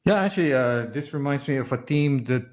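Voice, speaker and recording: A man from the Philippines hosting a podcast in casual interview chat, halting and often repeating the start of his phrases. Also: medium pitch, expressive, darkish tone. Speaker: podcast host.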